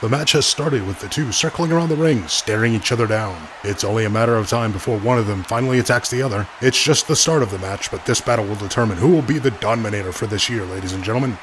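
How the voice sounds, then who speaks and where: A man's voice: on the low side, quick pace, few pauses, natural voice. A man playing a keen play-by-play wrestling commentator. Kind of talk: sports commentary. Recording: clearly noisy background.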